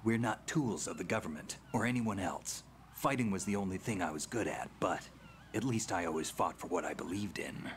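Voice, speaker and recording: An actor playing a weary, terse veteran male soldier with a low, gruff, hushed delivery. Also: expressive, moderate pace, slight background noise.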